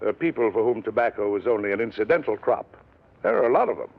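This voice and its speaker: A self-important middle-aged businessman in an old radio drama. His voice sits a bit low and he explains things fussily, with evasive hesitations. The 1950s recording sounds dull and muffled. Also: animated, fast talker, gravelly. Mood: fussy, explaining.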